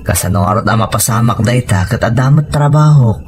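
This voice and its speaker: A man's voice: mid-range voice, a little fast, natural voice. A man playing a hesitant, worried husband in a domestic drama, questioning and reluctant. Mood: doubtful. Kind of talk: domestic audio drama dialogue.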